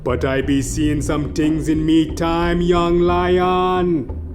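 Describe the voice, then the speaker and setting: A man's voice: on the high side, completely flat delivery, slow pace, music in the background, pitched above the performer's own voice. A man voicing a superstitious old Caribbean man who speaks in theatrical patois, giving spooky warnings in a comedy audio piece. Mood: ominous.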